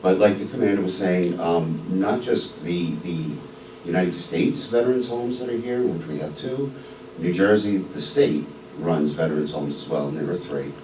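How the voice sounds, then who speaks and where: Man, very deep voice, middle-aged, from New York, halting testimony, many ums, echoey sanctuary, noisy room. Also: darkish tone, recorded from a distance. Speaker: guest speaker, veteran. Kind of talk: unscripted personal testimony. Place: church sanctuary. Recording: echoey church hall, voice from afar.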